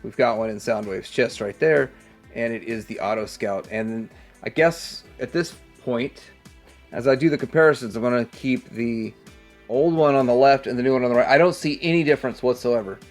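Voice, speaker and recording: A man's voice: medium pitch, moderate pace, soft background music. A man hosting a casual hands-on toy review, rambling and thinking aloud as he goes. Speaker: toy review channel host.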